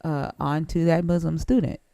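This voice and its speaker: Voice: medium pitch, steady tone, normal speed, gravelly, warm tone, no echo, clean studio sound. A live-stream host in casual conversation who keeps pressing with pointed follow-up questions.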